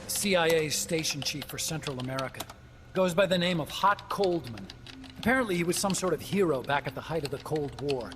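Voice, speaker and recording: A man's voice: on the high side, animated, fairly quick, close to an everyday voice. Earnest young scientist, voiced by a man: lighter voice, lecturing, over score. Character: earnest young male engineer scientist, talkative. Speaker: game character. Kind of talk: game cutscene voice acting. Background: game score music.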